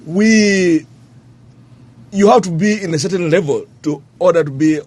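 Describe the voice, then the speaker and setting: A man speaking as a guest in a casual panel discussion, hesitant and filling his pauses with fillers. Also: high voice, darkish tone.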